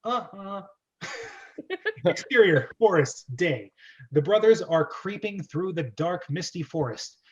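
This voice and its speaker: Guy with a kinda high voice hosting a script read, jokey theatrical delivery, muffled lo-fi sound. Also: big pitch swings, slow. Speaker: host and script reader. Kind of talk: informal screenplay read-aloud with casual asides. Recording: dull lo-fi audio.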